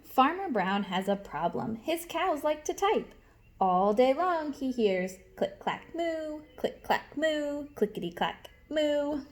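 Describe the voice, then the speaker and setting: A woman teacher reading a storybook aloud to young children, expressively, pausing to explain and ask questions. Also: sits high, big pitch swings, slight background noise.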